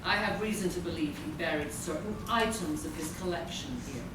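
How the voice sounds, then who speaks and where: A woman's voice: fairly low pitch, a little fast, lightly acted. A woman playing an imperious, secretive schemer with a haughty, commanding manner in a live radio play. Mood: smooth, evasive. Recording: echoey hall from far off, noisy.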